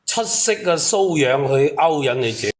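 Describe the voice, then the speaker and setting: A man's voice: on the high side, lively intonation, moderate pace, over a noisy crowd. A man on a call-in panel, giving sarcastic, reproachful commentary in casual talk.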